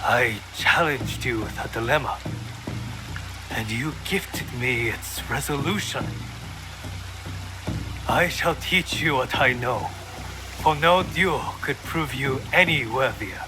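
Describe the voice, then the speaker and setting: Slow, dignified sword teacher. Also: male voice, mid-range voice, animated, music in the background, rough recording. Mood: approving. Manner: solemn, formal, deliberate. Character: dignified, principled sword teacher, calm and resolute. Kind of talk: dramatic game cutscene acting.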